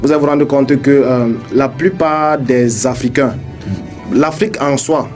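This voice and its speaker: A young man, an English speaker by birth, guesting on a radio interview and talking quickly with many halting restarts. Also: mid-range voice, a little mellow, faint music behind. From Cameroon. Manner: fast, halting talk with many restarts and repeated words. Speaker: radio guest, crypto entrepreneur. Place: radio studio.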